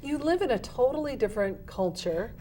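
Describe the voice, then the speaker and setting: A woman's voice: fairly high pitch, lively intonation, a little fast, dark tone, slight background noise. A woman hosting a community television talk show, prompting her guest with questions and short affirmations. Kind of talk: community TV interview.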